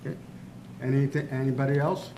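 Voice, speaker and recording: A man chairs a school board meeting, stopping and restarting often and filling gaps with uhs. His voice sits at a middle pitch, and clear room noise runs under the recording. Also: little pitch movement. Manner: halting, many uhs and restarts.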